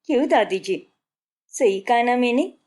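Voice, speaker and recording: A woman's voice: a little high, lively intonation, measured pace, a little mellow. A woman voicing a showy, boastful young newlywed daughter-in-law in a story, insistent and bragging, dropping in English words. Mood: impressed, gushing.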